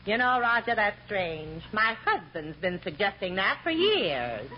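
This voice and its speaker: A woman's voice: medium pitch, sing-song. A woman playing a gullible, fluttery middle-aged society wife in an old radio comedy, with a gushing, theatrical manner. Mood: earnest, puzzled. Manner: gushing, theatrical, drawn-out exclamations. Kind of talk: 1940s radio comedy acting. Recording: dull, hissy old radio recording.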